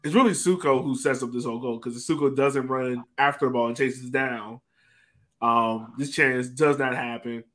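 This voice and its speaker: Chatty mid-pitched man hosting sports talk. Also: fairly even intonation, moderate pace, fairly bright tone. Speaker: podcast host. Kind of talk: casual sports chat. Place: indoor room, remote video call. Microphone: video call microphone.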